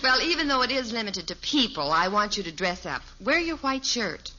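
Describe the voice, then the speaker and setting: A woman playing a patient, practical, gently firm middle-aged mother in a 1950s radio sitcom. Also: medium pitch, big pitch swings, normal speed. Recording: muffled, hissy old radio recording.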